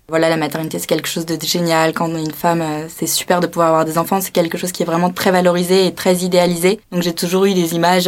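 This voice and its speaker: A woman in her twenties giving a measured, hesitant personal testimony as an interviewee. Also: lowish voice. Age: 20s.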